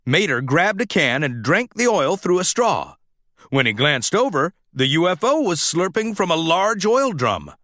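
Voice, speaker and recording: A man's voice: fairly high pitch, sing-song, unhurried, fairly bright tone, no echo, studio quality. A man reading a children's storybook aloud as scripted narration. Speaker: storybook reader.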